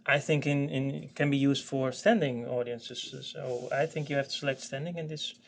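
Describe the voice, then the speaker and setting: A man explaining technical settings in an informal training session, haltingly, often repeating words as he searches for phrasing. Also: medium pitch, steady tone, creaky, a little hiss or hum. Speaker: product expert explaining software.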